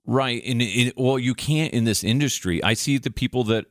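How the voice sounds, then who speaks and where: Male American podcast host, average pitch, casual animated storyteller, dry recording. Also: normal speed, dry recording. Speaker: podcast host, former radio producer.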